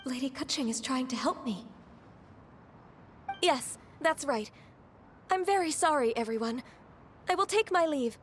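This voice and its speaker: A woman's voice: sits high, lively intonation, measured pace, long pauses, slight background noise. A woman playing a polite, easily flustered young secretary in a video game, apologetic, hesitant and deferential. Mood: embarrassed. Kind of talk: video game character acting.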